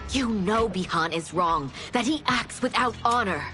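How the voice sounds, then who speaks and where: A woman's voice: medium pitch, lively intonation, moderate pace, sharp tone, faint music behind. A woman playing a brave, compassionate ninja's bride in a game cutscene, speaking with earnest appeal. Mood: defiant. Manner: earnest, pleading. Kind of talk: dramatic video game cutscene acting. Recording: cinematic score under the voice.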